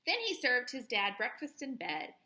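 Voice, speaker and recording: A woman host reading a children's story aloud in an expressive, playful way, with plenty of sound words. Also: on the high side, normal speed, echoey room, background noise.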